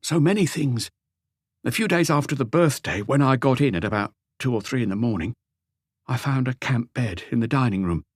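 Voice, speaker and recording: A man voicing an anguished, lonely, meek husband in an audiobook, pleading and rambling haltingly through a confession. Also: medium pitch, expressive, no room sound, clean studio sound, lightly acted. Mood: wounded, hopeless. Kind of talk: audiobook character dialogue.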